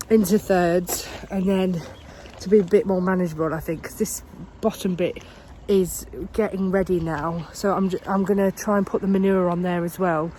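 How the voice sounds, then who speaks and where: A woman's voice: on the low side, darkish tone, rough recording. A woman in her thirties with a head cold, talking to camera outdoors on her allotment. She rambles in a friendly, unscripted way, full of ums and restarts. A faint hiss of outdoor noise sits behind her voice. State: has a cold. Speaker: gardening vlogger. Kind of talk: casual vlog chat.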